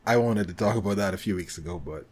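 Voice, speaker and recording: A man co-hosting a podcast, chatting casually about films in an animated, exclamatory way. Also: sits low, fast, slightly rough. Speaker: podcast co-host.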